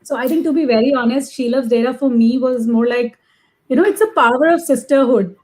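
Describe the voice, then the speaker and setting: Female interview guest, high voice, casual delivery, effusive and emphatic. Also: fairly quick, darkish tone, rough recording. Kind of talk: casual conversational talk.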